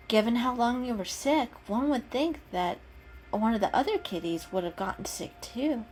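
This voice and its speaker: A woman's voice: a little high, darkish tone, pitched above the performer's own voice, lightly acted, rough recording. A woman voicing a caring, know-it-all female cat in a storybook, explaining patiently and kindly.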